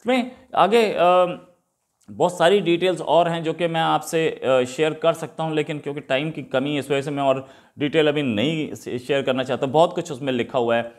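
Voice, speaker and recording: Higher-voiced Indian man giving opinionated commentary. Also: normal speed. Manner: rhetorical questioning, argumentative. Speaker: host commenting on current affairs. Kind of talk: opinionated news commentary, unscripted.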